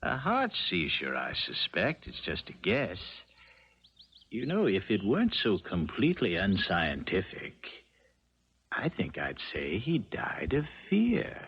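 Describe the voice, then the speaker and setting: Hesitant male doctor, grave news, low voice, muffled, faintly noisy 1970s radio drama. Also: huge pitch swings, exaggerated voice. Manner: halting, stammering. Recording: muffled, slightly noisy old radio broadcast.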